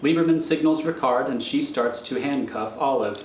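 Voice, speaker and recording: A man reading scripted scene directions as narrator, in a deliberate storytelling manner. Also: mid-range voice. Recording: echoey room with faint noise.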